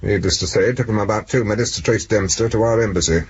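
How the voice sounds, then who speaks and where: A man's voice: lowish voice, fast talker, close to an everyday voice. A man playing a brusque, sardonic British police superintendent in a radio drama. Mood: dry briefing. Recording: muffled radio recording with faint noise.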